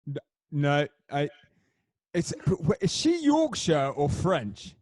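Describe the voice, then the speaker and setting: A man's voice: on the high side, big pitch swings, slow pace, a little mellow, dry recording. Jokey young man, dry close sound. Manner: hesitant, jokey, self-mocking. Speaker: host. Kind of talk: casual podcast chat.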